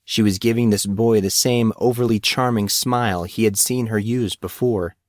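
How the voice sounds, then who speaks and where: A man's voice: fairly low pitch, fairly even intonation, normal speed, dry recording, clean studio sound. A man reading a story aloud as scripted audiobook narration.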